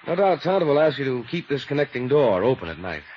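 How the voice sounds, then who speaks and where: A man's voice: medium pitch, very animated, fast talker, close to an everyday voice. A man playing a well-spoken, resentful young heir in his twenties in a 1950s radio drama. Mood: sardonic, polite. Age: 20s. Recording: dull, noisy old radio recording.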